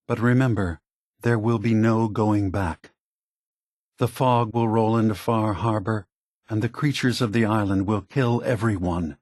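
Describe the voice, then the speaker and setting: A weary machine leader from a video game, who longs for peace. He speaks very slowly and gravely in a low, husky voice. Every thought is measured and deliberate. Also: darkish tone, dry recording, studio quality. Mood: solemn, resolute. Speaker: game character. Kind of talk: scripted video game dialogue.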